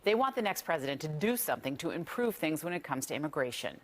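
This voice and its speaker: Low-pitched brisk female TV reporter. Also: big pitch swings, a little hiss or hum. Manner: brisk, conversational delivery. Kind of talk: news report.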